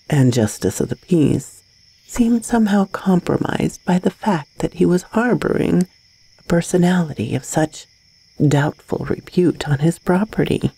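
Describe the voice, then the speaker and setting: A woman reading a gothic bedtime story as scripted narration, in a husky, breathy voice with a measured, dramatic delivery. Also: low-pitched, dark tone, poor audio.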